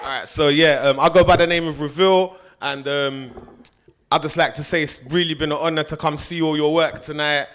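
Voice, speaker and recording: A man's voice: fairly high pitch, steady tone, fairly quick, fairly bright tone, clear, resonant tone. Man in his thirties rapping verse. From Iran. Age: 30s. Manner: rhythmic, street-style delivery. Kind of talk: spoken word rap poetry.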